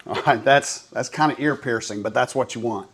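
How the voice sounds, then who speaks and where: A man's voice: mid-range voice, moderate pace, a bit bright. American man giving a casual product demo. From coastal North Carolina, US. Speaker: product reviewer presenting to camera.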